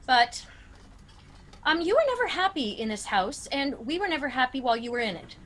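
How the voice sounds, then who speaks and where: An actress playing a prim, polite but firm old aunt in a radio play. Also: female voice, high-pitched, sing-song, brisk, fairly bright tone, heavily acted. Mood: firmly unwelcoming.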